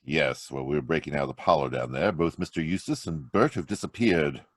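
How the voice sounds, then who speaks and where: A man playing a calm, commanding criminologist hero in an old-time radio drama read over a video call. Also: a bass voice, fairly quick, no room sound, clean studio sound. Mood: urgent. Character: cool confident male criminologist hero.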